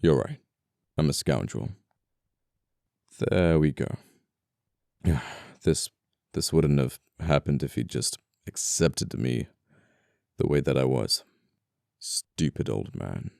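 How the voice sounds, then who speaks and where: Casting: male actor for scoundrel murderer in ASMR roleplay, very deep and smooth, politely menacing, apologetic, flirtatious, quaint wording. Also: expressive, slow pace, pauses often, no echo, studio quality, heavily acted. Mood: apologetic, flirty. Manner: soft-spoken politeness, condescending, old-fashioned phrasing. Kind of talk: intimate ASMR audio roleplay acting.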